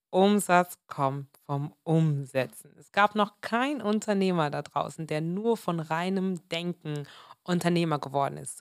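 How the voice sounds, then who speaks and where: A woman in her twenties hosting a motivational solo podcast, slightly hoarse and a bit under the weather, speaking earnestly and with emphasis. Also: fairly low pitch, dry recording, clean studio sound. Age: 20s. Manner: earnest, emphatic, mixes in English words. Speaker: podcast host.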